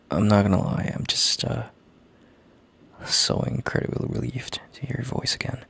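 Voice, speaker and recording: A man playing a gentle, worried boyfriend in an intimate audio roleplay, speaking in a halting, tender, hushed way with a gravelly, husky, breathy voice. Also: fairly low pitch, full character voice. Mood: tender relief. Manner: halting, tender, hushed, sighing between phrases. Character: gentle worried boyfriend, relieved and heartfelt, on a call.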